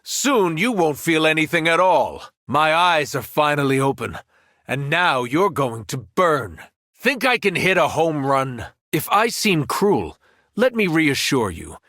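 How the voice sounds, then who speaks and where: An actor playing a powerful, cruel superhero turned villain in a video game, arrogant and taunting. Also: male voice, fairly high pitch, very animated, measured pace, bright, forceful tone, no room sound, studio quality, full character voice. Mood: cold threat. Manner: taunting, menacing, condescending.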